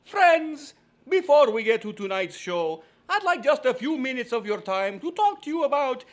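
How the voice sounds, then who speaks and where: Male, theatrical mad scientist horror host, high-pitched, wildly animated, old-time radio parody. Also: moderate pace. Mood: grandly spooky. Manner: theatrical, put-on spooky delivery.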